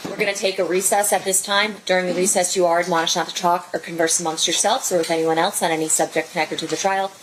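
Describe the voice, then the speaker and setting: A woman judge reciting formal courtroom instructions in a brisk, rote way. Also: fairly low pitch, fairly even intonation, rough recording. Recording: faint courtroom noise.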